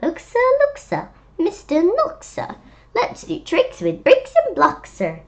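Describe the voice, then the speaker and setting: A woman voicing an eager, tricky, bossy storybook fox with an excited sing-song delivery. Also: very high-pitched, slow pace, pitched above the performer's own voice, heavily acted. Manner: excited sing-song, tongue-twisting patter.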